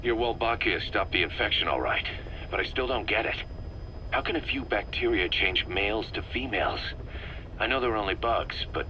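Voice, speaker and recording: An actor playing a blunt, skeptical soldier in a video game, pressing insistently for answers. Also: male voice, on the low side, normal speed, noisy background, lightly acted. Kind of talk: dramatic game voice acting.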